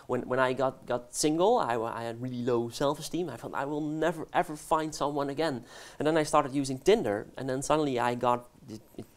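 A man in his forties with a Dutch accent, answering interview questions in a studio, with frequent restarts and fillers. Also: mid-range voice. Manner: halting, many restarts and fillers, laughs easily. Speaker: guest researcher. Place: studio set. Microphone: lapel.

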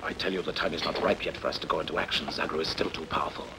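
A man playing a wary, gruff rebel conspirator in a very noisy film dub. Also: rumbling low, fairly even intonation, racing. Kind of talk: dubbed costume-adventure film acting. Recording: very noisy old film soundtrack.

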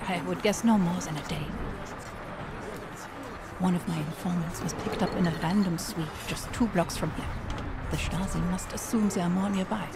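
A lowish female voice with a German accent, set in loud ambient noise. An actress plays a secretive underground contact in a spy game, composed and guarded, speaking with cool, measured gravity. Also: fairly even intonation, lightly acted. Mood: grave. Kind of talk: video game cinematic acting. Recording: loud background ambience over dialogue.

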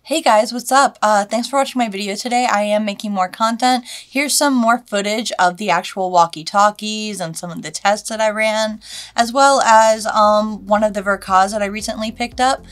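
A woman's voice: medium pitch, moderate pace, a bit bright. A woman in her thirties chatting casually and enthusiastically to camera in slangy, informal language as a hobby video host. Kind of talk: casual vlog chat to camera. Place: small indoor hobby studio room.

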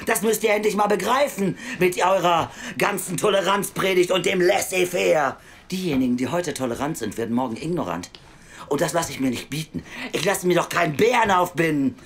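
An actress playing a middle-aged female youth welfare official in an audio drama, weary, scornful and blunt, with a deep voice and a lecturing, sardonic manner. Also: fairly quick, natural voice. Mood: scornful tirade. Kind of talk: naturalistic audio drama acting.

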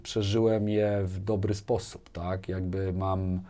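A man's voice: low-pitched, little pitch movement, slow pace, mellow. A man, talking casually as an interview guest, often repeating words and checking in with short tag questions. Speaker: interview guest, business manager. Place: small furnished indoor studio, seated. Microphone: lapel mic.

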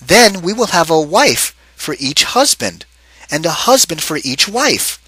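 A man reading a picture book aloud, voicing an older woman in a high, loud, shrill voice. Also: big pitch swings, measured pace, slightly rough, no room sound, exaggerated voice. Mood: cheerful. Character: frantic old woman, Mrs. O'Grady.

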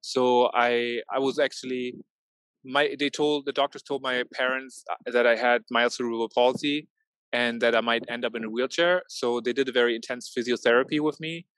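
A man's voice: medium pitch, steady tone, moderate pace, a bit bright, no room sound, poor audio. A man in his thirties from Norway and Germany, speaking hesitantly as a guest over a video call from a marina. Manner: hesitant, many fillers and restarts. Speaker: guest, tech founder. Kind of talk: casual interview talk. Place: outdoors at a marina.

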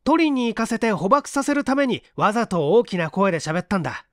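A man voicing a brave, sharp-witted young hero, forceful and confident, in a dramatic story reading. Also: sits high, sing-song, fairly quick, no echo, clean studio sound, pitched above the performer's own voice. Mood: defiant, triumphant.

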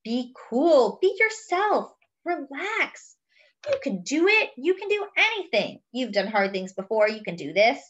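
Woman reader as storybook duck, nervous but cheering himself on, pitched up and bouncy. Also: big pitch swings, measured pace, fairly bright tone, highs cut off, heavily acted. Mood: self-encouraging. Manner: self-encouraging pep talk.

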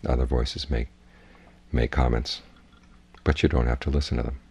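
A man with a husky voice giving a guided meditation talk as a Buddhist teacher, in measured, plainspoken instruction. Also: rumbling low, level tone, a little mellow.

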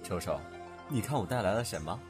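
一位男演员在广播喜剧中饰演油腔滑调、肉麻调情的男顾客。. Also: male voice, lowish voice, animated, brisk, music in the background, lightly acted. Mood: flirting. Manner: oily, wheedling. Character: smarmy, flirtatious male customer, oily and doting. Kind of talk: Chinese audio comedy drama acting.

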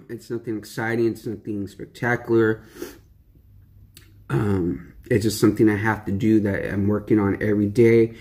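Laid-back slangy young man, low voice. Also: flat delivery, moderate pace, pauses often, a little mellow. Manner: laid-back, slangy, rambling with many fillers. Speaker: mukbang vlogger. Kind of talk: casual eating-show chat.

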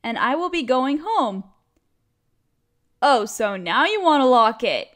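A cute young female barista played by a woman with a high, girlish voice. She chats expressively in a casual audio roleplay, a friendly coworker who teases and complains playfully. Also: sing-song, slow pace, a bit bright, full character voice. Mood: weary, teasing. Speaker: voice actress. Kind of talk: scripted one-sided audio roleplay, casual chat.

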